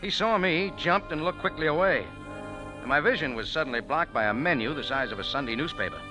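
An actor plays a wisecracking American cafe owner in an old radio play. He narrates in a wry, hardboiled voice that swings with lively pitch. Music plays clearly beneath the broadcast. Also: fairly quick, lightly acted, rough recording. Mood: narrating. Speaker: narrator and lead. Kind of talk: 1940s radio acting.

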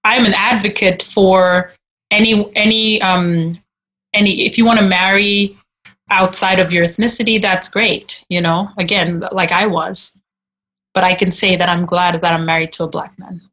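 A woman's voice: medium pitch, steady tone, normal speed, pushed and bright, poor audio. A woman in her forties reflecting on her life in a group video-call discussion, talking hesitantly with many restarts. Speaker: discussion participant.

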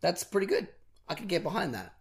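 A young man hosting a podcast in casual chat, his voice husky and raspy from a cold and singing, rambling and self-deprecating. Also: high-pitched, sing-song, a little fast. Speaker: podcast host.

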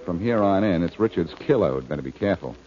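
An actor playing a wry, confident amateur detective in a 1940s radio drama, self-assured and quick with a wisecrack. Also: male voice, low voice, expressive, dark tone. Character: wry, confident amateur sleuth, adult man. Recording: dull, noisy vintage radio recording.

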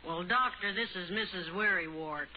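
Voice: medium pitch, moderate pace, natural voice. A performer playing a folksy, complaining rural housewife with a plaintive, droll manner in an old radio comedy. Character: folksy, complaining rural woman with stomach misery. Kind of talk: 1940s-50s radio comedy acting. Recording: tinny, noisy old radio phone scene.

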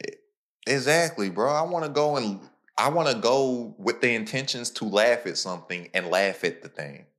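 A young man hosting a podcast, talking casually with slang and animated, quick bursts of storytelling. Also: medium pitch. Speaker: podcast host.

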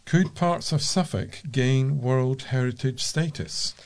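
A man's voice: medium pitch, slow pace, vocal fry. A British man reading local news aloud as a volunteer newsreader.

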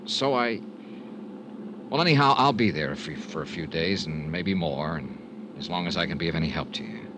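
A man's voice: low-pitched, lively intonation, normal speed, background noise. A male actor playing a troubled, hard-boiled insurance investigator in a 1950s radio drama, clipped and wry. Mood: gentle, evasive. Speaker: lead actor and first-person narrator. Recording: 1950s radio recording with music.

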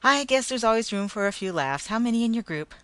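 A woman doing a loud blustering parade manager. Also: a little high, animated, fast, dry recording, voice pushed up, natural voice. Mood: jovial. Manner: loud, indignant, then jovial. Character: blustering middle-aged male parade manager.

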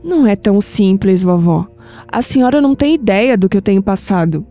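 An actress playing an anguished young Brazilian granddaughter in an audio drama, emotional and hesitant, with sighs. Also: female voice, medium pitch, animated, fairly quick, dark tone, natural voice. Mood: distressed. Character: anguished, skeptical young granddaughter unsettled by past-life visions. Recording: music bed under the voice.

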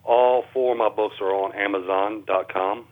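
A man's voice: lowish voice, fairly even intonation, normal speed. A man talking as an interview guest over a thin phone line, explaining things in a casual, plainspoken, folksy way. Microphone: telephone.

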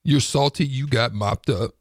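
A man with a raspy voice co-hosting a sports talk show in a casual, colloquial way, repeating phrases for emphasis. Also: mid-range voice, normal speed, no room sound, studio quality. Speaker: sports talk radio co-host.

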